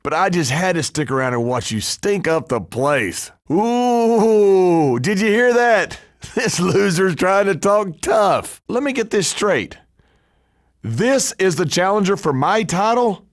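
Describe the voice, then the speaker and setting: A man's voice: sits high, animated, takes it slowly, no echo, clean studio sound. A man playing a cocky, scheming, trash-talking pro wrestler in scripted video game dialogue, with a brash, emphatic delivery. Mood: mocking, gloating.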